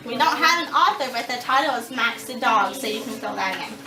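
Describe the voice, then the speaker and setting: Woman, elementary teacher, high voice, directive, question-asking, distant mic, very noisy classroom. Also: animated, brisk. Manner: directive, checks understanding with questions. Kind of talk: classroom reading lesson, instructing young children. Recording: echoey, distant, noisy classroom recording with clicks.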